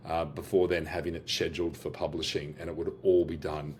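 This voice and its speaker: Low-voiced aussie guy doing a casual software demo, lots of uhs and restarts. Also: level tone, brisk, a little hiss or hum. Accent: Australian. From Australia. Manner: casual, with frequent restarts and filler words. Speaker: host of AI workshops and business owner. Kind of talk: screen-share product demo.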